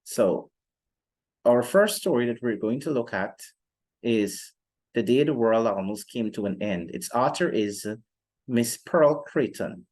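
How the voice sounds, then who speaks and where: A young man giving an explanatory lecture in a formal, deliberate manner, pausing often between phrases. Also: mid-range voice, expressive, darkish tone. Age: young adult. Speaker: literature teacher presenting a lesson. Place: indoor room, seated before a plain wall.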